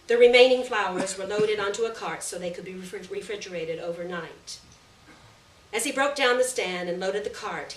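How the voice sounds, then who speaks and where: A woman reading a literary short story aloud in a measured, deliberate way, with pauses between phrases. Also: fairly low pitch. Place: roomy venue at a live reading. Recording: echoey room, distant mic, background noise.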